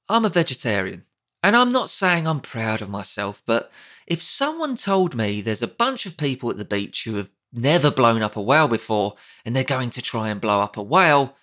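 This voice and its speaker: A man's voice: mid-range voice, fairly bright tone. A British man narrating a comedic audiobook in a wry, sardonic, conversational way.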